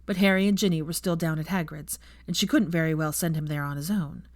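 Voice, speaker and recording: Female story narrator, scripted reading, lowish pitch, dry close recording. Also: fast, no room sound. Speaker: fan fiction narrator.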